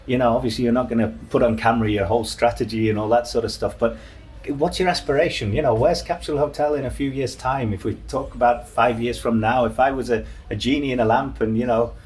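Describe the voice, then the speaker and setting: A man's voice: medium pitch, fairly even intonation, quick pace, darkish tone. A man conducting a casual, conversational interview in a chatty manner, often affirming what is said.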